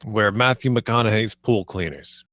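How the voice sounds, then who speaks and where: A man's voice: lowish voice, measured pace, a bit bright, no room sound. Man playing a cocky slacker bro, dry recording. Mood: self-assured, casual. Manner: casual, slangy, self-assured. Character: cocky, laid-back slacker bro pool cleaner. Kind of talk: scripted table read of a comedy screenplay.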